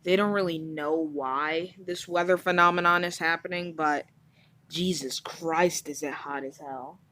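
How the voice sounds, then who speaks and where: A woman acts out a weary, overheated female newscaster in an amateur audio drama. Her voice sits fairly low. She rambles informally and bluntly, like an anchor who has dropped the polished front. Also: fairly even intonation, measured pace, sharp tone. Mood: weary, complaining. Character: overheated, frank, swearing female TV news anchor, flustered and weary.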